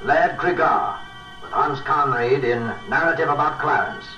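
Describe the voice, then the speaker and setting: A man's voice: fairly high pitch, measured pace, sharp tone, recorded from a distance. A man announcing a radio suspense show in formal 1940s broadcast style. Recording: muffled echoey old radio broadcast with music.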